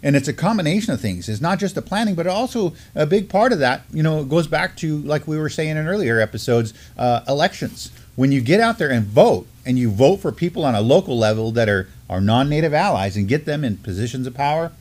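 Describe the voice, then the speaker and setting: A man's voice: on the high side, animated, a little fast, few pauses, a little mellow. Middle-aged man discussing news informally. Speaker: news show co-host. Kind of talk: news commentary, reading and discussing articles. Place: indoor studio at a desk.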